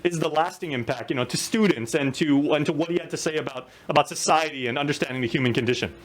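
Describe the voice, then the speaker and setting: A man interviewing a guest, talking quickly with frequent fillers. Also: a little high. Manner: fast, with many "you know" fillers. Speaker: host.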